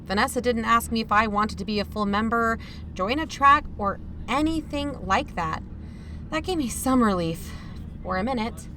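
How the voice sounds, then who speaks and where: Woman acting an uneasy chaperone, high voice, noisy background. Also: lively intonation, moderate pace, sharp tone. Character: uneasy, wry adult woman chaperone narrating her account. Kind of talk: first-person scripted narration.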